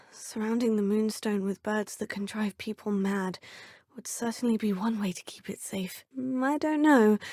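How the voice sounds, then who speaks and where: A woman voicing a poised, curious young witch student, speaking in polite, formal, measured game dialogue with a husky tone. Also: a little high, a little mellow, no room sound, studio quality, natural voice. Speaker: player character. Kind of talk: video game voice lines.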